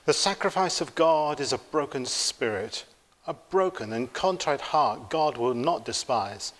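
A middle-aged man leading worship as a priest, praying in a solemn, formal and measured way. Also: mid-range voice, expressive, fairly bright tone. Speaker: priest leading a church service. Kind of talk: solemn liturgical prayer. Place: inside a church, at the altar. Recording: reverberant church interior.

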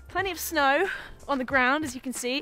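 A woman's voice: high voice, animated, moderate pace, bright, forceful tone. A young woman in her twenties, chatty and upbeat, vlogging to a handheld camera at arm's length and laughing easily. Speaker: vlogger and runner. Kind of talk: casual vlog to camera. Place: outdoors in snowy streets and indoors at home.

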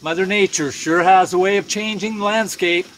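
A man's voice: high-pitched, fairly even intonation, moderate pace, a bit bright, a little hiss or hum, poor audio. Chatty man by a campfire. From Canada. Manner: easygoing, chatty, says "eh". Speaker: channel host. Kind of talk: casual vlog chat. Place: outdoors at a night campfire in the woods.

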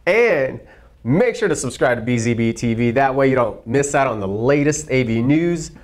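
Male host, 30s, mid-pitched voice, presenting products to camera in an upbeat way. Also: expressive, moderate pace.

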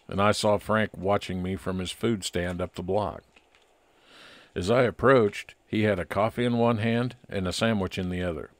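A man playing a friendly, observant private investigator, narrating an old-time radio detective story in a measured way. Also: lowish voice, no room sound, lightly acted. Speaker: narrator and lead actor.

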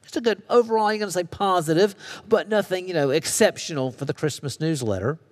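Higher-voiced American preacher in a reverberant hall. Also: male voice, fast. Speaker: pastor preaching. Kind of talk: sermon with storytelling. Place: church sanctuary. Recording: long reverberation of a large hall.

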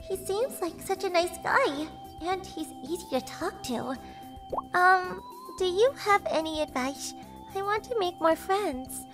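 A lovestruck teenage anime girl, extremely high and very animated in pitch. She is clingy and jealous, delivering everything with dramatic emotion, as in a romantic comedy dub. Music plays behind her. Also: measured pace, heavily acted. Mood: shy, sweet.

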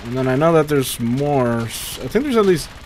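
A man's voice: mid-range voice, big pitch swings, moderate pace, darkish tone, slight background noise. A young man giving casual gameplay commentary, muttering to himself and swearing freely. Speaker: gamer recording a playthrough.

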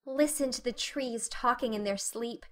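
A woman acts out a dreamy, talkative girl of eleven from a storybook. Her voice is high and bright. She gushes in a breathless, wide-eyed way. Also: moderate pace, lightly acted. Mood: hushed wonder. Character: dreamy, chatty, excitable eleven-year-old orphan girl. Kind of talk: dramatic audiobook reading.

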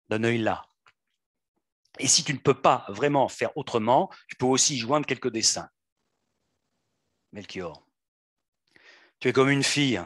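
A man reading aloud the lines of an earnest teenage schoolboy from a stage play, in a measured, unhurried way. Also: medium pitch, fairly bright tone, no echo, studio quality. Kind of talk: dramatic reading.

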